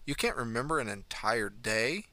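A man's voice: medium pitch, expressive, normal speed, bright, forceful tone, no room sound. A man voicing a young male patient in a neck brace, asking dry, probing questions.

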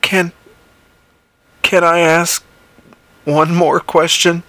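A man putting on the voice of a frightened young man who pleads desperately, pitched high and strained. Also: level tone, extremely slow, pushed and bright, no echo, heavily acted. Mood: pleading.

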